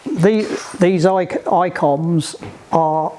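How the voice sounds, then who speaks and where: Slow-talking man instructor, slightly noisy recording. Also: high voice, warm tone. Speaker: sailing club instructor giving radio training. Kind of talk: hands-on practical instruction to a group.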